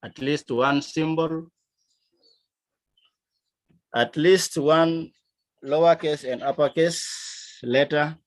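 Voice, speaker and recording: A man from South Sudan with a South Sudanese accent, teaching a lesson in a deliberate, emphatic manner. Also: medium pitch, low-quality recording. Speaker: instructor. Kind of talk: digital literacy lesson, explaining to learners.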